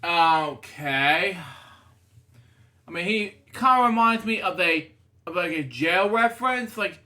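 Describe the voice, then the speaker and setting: High-pitched man rambling through a storybook. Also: animated, takes it slowly, bright, forceful tone. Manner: rambling, digressive, often breaking off to comment. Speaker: storybook reader and show host. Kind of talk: casual read-aloud of a children's picture book with rambling asides.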